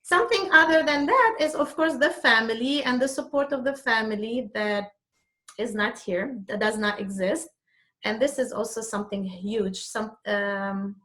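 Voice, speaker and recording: Higher-voiced Lebanese-accented woman. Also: a bit bright. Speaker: interview guest. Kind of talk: conversational interview answers.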